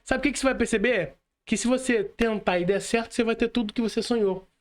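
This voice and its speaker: High-pitched young Brazilian man, emphatic. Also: fast. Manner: emphatic, slangy, addresses the listener directly. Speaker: podcast speaker. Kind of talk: motivational casual podcast chat.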